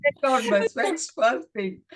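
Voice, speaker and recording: A woman author in a webinar on a video call, answering mostly with soft backchannels and laughter. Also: high-pitched, very animated, takes it slowly. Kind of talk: webinar book discussion.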